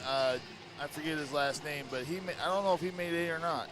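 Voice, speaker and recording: A laid-back man with a slightly scratchy voice, chatting casually as a pinball player on a stream. His pitch runs a bit high, and music plays clearly in the background. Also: brisk, fairly bright tone. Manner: laid-back, short agreeing replies.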